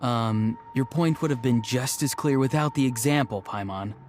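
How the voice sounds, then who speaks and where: A man's voice: mid-range voice, moderate pace, natural voice. Man voicing earnest, polite young apprentice. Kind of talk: animated video game voice acting. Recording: music playing behind the voice.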